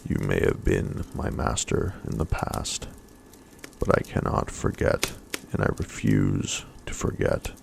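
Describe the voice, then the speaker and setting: A man voicing a devoted young warrior woman in a storybook, pleading earnestly in a hushed voice. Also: a bass voice, sing-song, a little slow, vocal fry, background noise, heavily acted. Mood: anguished devotion.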